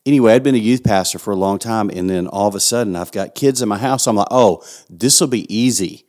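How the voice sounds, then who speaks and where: A man's voice: fairly low pitch, fast, darkish tone. A middle-aged man with a West Texas accent, a pastor co-hosting a podcast in joking, playful casual chat. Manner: jokey, playful banter.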